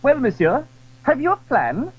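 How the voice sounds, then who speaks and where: Peevish, husky, jealous King. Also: very high-pitched, huge pitch swings, unhurried, full character voice. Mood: curt, pointed. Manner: clipped, demanding, peevish. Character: suspicious, jealous, imperious King of France. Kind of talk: theatrical audio drama acting. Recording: dull, dry 1980s audio drama recording.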